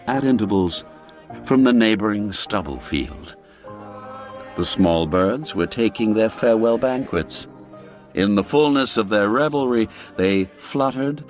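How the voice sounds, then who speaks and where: A man reading a classic tale aloud as a scripted narration, slow and storytelling, letting phrase endings fall away. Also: fairly low pitch. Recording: faint music behind.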